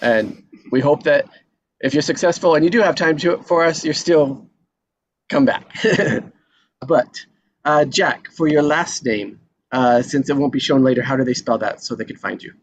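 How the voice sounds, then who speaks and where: A man's voice: fairly high pitch, normal speed. A man hosting an online table read, chatting casually. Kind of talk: casual chat. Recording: dull lo-fi call audio, faint noise.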